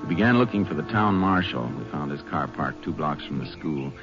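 An actor playing a calm, steady Texas Ranger hero in a 1950s radio drama, American, terse and matter-of-fact. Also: male voice, extremely low pitch, fairly quick, music in the background. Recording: dull old radio recording.

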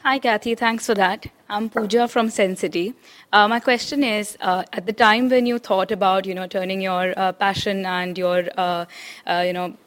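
A woman in the audience puts a question to the speaker, halting often with fillers as she searches for words. Her voice sits at an ordinary middle pitch. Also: steady tone. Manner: hesitant, many uh and you know. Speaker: audience member asking a question. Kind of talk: question from the floor.

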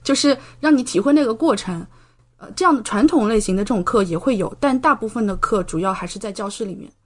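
A woman's voice: on the high side, fast. A woman in her thirties from China chatting casually as an interview guest, telling stories vividly and often checking in with the listener. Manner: chatty, animated storyteller who re-enacts speech and checks in with the listener. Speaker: podcast guest, medical doctoral student.

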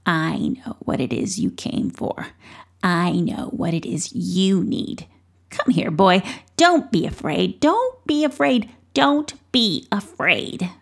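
A woman voicing a croaky old hag witch who wheezes, cackles and mutters spells in a whispery voice. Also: lowish voice, big pitch swings, slow, heavily acted. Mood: coaxing.

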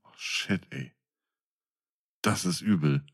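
A man's voice: low-pitched, slow pace. A man co-hosting a podcast in casual chat, with a jokey, colloquial, buddy-like way of talking. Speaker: podcast co-host.

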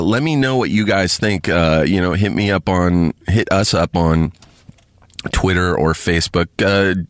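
A man hosting a podcast alone, chatting casually to his listeners in a halting, rambling way with lots of fillers, a bit sniffly from congestion. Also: sits low, no echo, studio quality. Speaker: podcast host. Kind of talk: casual solo chat to listeners.

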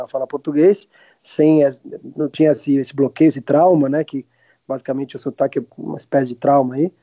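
A man in his late thirties with a Brazilian accent talks casually as an interview guest. His voice sits a little high, and he speaks informally, often backtracking mid-sentence. The recording is dry and slightly muffled. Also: dark tone, dry recording. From Argentina. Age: late 30s. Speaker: podcast guest, restaurant owner. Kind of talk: casual chat. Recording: roomless dry, slightly dull lo-fi sound.

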